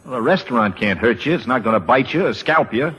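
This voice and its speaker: An actor playing a curt, distrustful ex-soldier in an old-time radio drama, with clipped, sardonic, slangy delivery. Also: male voice, medium pitch, lively intonation, fast talker, fairly bright tone, natural voice, rough recording. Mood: teasing. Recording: vintage radio broadcast recording.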